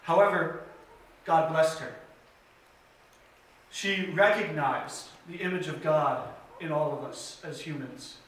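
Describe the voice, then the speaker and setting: A man's voice: a little high, fairly bright tone, slight background noise. Earnest man telling a story in an echoey church. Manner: earnest, measured telling with pauses and fillers. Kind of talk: children's story time retelling. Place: church sanctuary. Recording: very echoey church hall from a distance.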